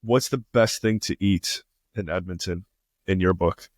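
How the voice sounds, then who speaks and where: A man's voice: deep voice, a little slow, no echo, studio quality. A man hosting a podcast, laid-back and chatty, full of fillers and easy laughs. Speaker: podcast host. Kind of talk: casual interview talk. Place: Toronto.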